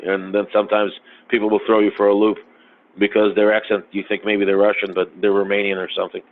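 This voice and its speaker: A man in casual podcast conversation, talking haltingly with many fillers and restarts. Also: fairly low pitch, completely flat delivery. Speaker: podcast guest. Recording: tinny phone-like sound, faint noise.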